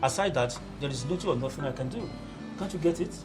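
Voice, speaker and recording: A man's voice: a little high, expressive, fast, a little mellow, faint music behind, close to an everyday voice. A man playing a cold, dismissive uncle. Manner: curt, dismissive. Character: cold, stubborn middle-aged uncle.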